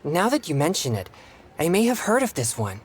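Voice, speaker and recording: An actor playing a polite, earnest young male exorcist in a video game, speaking in formal, courteous, measured phrases. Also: on the high side, huge pitch swings, slight background noise. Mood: earnest, pondering. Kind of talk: scripted video game dialogue.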